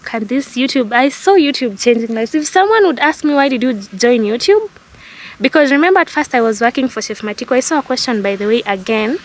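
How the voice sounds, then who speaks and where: Kenyan woman reminiscing, fairly high voice. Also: lively intonation, a little fast. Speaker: vlogger. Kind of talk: casual storytelling to camera.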